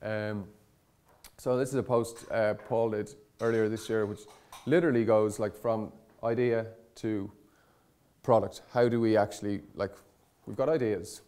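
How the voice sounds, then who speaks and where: A man's voice: lowish voice, steady tone, a little slow, mellow, smooth. A man giving an informal conference presentation in a conversational, rambling way, often correcting himself and speaking in blunt, colloquial terms. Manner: conversational, hesitant, rambling with frequent self-corrections. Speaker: conference speaker on startup content marketing.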